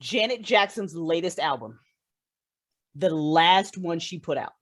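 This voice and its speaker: A woman chatting casually as a podcast guest, informal and effusive, quick to laugh. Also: lowish voice, expressive, dry recording, studio quality. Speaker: podcast guest, writer and audio fiction creator. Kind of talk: casual chat.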